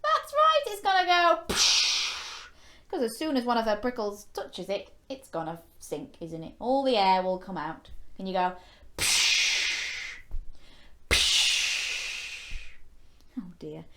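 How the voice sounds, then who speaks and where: A woman's voice: medium pitch, very animated, slow, long pauses, sharp tone. A woman hosting a children's story time, reading a picture book expressively with exclamations and playful questions to young listeners. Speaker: children's storyteller and show host.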